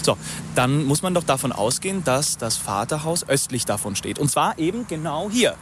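An earnest, obsessive archaeologist of fairy tales in a radio drama. His voice sits fairly high for a man, and he lectures insistently with pedantic leading questions, over faint outdoor noise. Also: big pitch swings, normal speed. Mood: earnest, convinced. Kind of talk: naturalistic radio drama dialogue. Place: outdoors in woodland.

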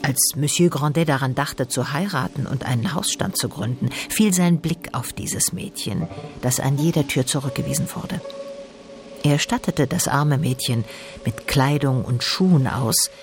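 A man's voice: mid-range voice, moderate pace. A man reading scripted literary narration as the narrator of a radio drama.

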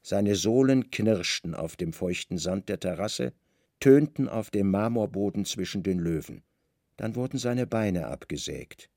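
Male narrator for literary radio drama: grave, deliberate, low and creaky, close dry sound. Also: lively intonation, mellow, no room sound.

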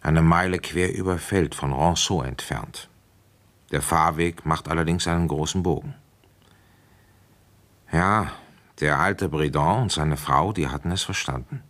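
An actor playing a seasoned village police commissioner, narrating a case in a confiding, conversational manner in a radio play. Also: male voice, rumbling low, a little slow, a bit bright. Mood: confiding, reflective.

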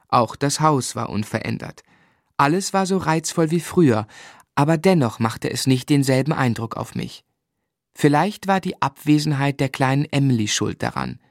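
An actor playing a grown man gently and wistfully recounting his boyhood as a measured radio drama narrator. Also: medium pitch, no echo, clean studio sound, natural voice. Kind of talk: literary first-person narration in radio drama.

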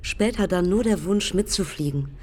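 A woman's voice: fairly low pitch, expressive, moderate pace, darkish tone, a little hiss or hum, close to an everyday voice. An actress playing an eager, headstrong young woman pilot of the 1920s in a radio play, telling her story reflectively in clipped fragments. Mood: dreamy, excited. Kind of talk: radio play monologue and dialogue.

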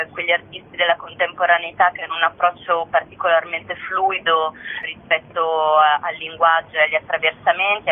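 A woman giving an interview as a festival director, hesitant and searching for her words. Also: lowish voice. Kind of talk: phone interview. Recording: narrow, tinny phone line.

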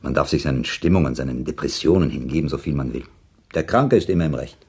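An actor playing a shrewd, cynical, curious listener in a radio play, with a dry, worldly, probing manner. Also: male voice, extremely low pitch, fast, a little mellow, slightly rough. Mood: detached musing.

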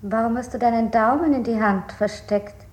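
A woman's voice: a little high, moderate pace, darkish tone, lightly acted. An actress playing a domineering, taunting interrogator in a 1960s radio play, addressing her victim with mocking familiarity. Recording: echoey, faint noise.

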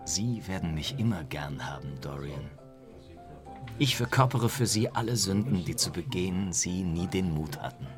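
Radio drama: cynical aristocratic tempter, superior and polished, lowish animated voice, faint music behind. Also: male voice, normal speed. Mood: suave, amused. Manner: suave, epigrammatic, superior. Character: cynical, worldly English aristocrat, elegant hedonist tempter. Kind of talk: German radio play acting.

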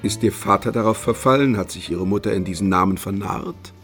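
Guy as a cultured doctor in a radio play, low voice, playful and nosy, soft music behind. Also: a little fast, natural voice. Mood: teasing curiosity. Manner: inquisitive, playful, educated phrasing. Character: cultured old doctor friend, teasing, admiring, insistent. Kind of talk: radio drama acting.

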